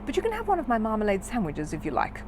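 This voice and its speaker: A woman's voice: mid-range voice, wildly swooping pitch, quick pace, noisy background, voice pushed up. A woman voicing a polite, eager young male bear in a storybook reading, earnest and innocently matter-of-fact. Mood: earnest, helpful.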